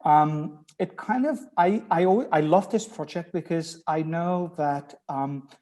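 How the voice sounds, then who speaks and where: Man, Dutch accent, middle-aged, fairly high pitch, reflective academic talk, frequent ums. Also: unhurried, warm tone. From Netherlands. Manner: thoughtful, hesitant with many ums and restarts. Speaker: author and researcher guest. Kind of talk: academic conversation.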